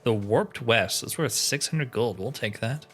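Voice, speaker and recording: A man plays through a game and talks it over as he goes. He muses aloud in a casual, slangy way at an average pitch, with music playing behind his voice. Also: measured pace, fairly bright tone. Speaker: let's player narrating a roleplay playthrough. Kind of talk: casual gameplay commentary, thinking aloud.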